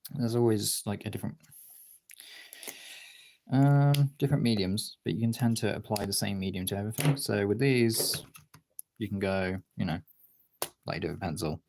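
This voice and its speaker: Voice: deep voice, moderate pace, pauses often, noisy background. A streamer chatting casually while demonstrating drawing, talking in an informal, sweary way. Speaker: art streamer.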